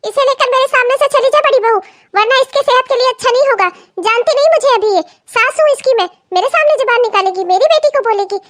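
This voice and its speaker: A woman's voice: extremely high pitch, fast. A woman playing a domineering, hot-tempered mother-in-law in a family melodrama, scolding and commanding. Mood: furious, threatening. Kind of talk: Hindi family melodrama story acting.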